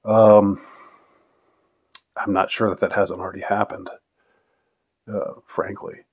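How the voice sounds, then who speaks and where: A man in his fifties with a fairly low voice talks casually as a vlogger taking questions. He speaks off the cuff in a halting, rambling way, often letting a thought trail off before picking it up again. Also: flat delivery, vocal fry, darkish tone, dull audio. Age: 50s. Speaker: vlogger answering viewer questions. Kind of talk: casual unscripted question-and-answer monologue.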